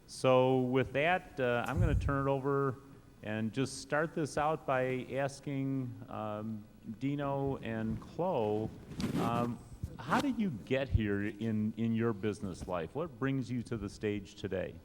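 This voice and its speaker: A man's voice: medium pitch, animated, slow. A man moderating a conference panel, speaking hesitantly with many fillers. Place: conference stage. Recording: reverberant conference hall.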